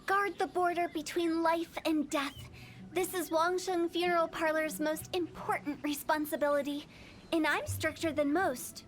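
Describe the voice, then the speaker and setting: A woman's voice: very high voice, fairly even intonation, normal speed. A woman voicing an eccentric, playful young female funeral parlor director in a video game, teasing and theatrical. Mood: breezy, mysterious.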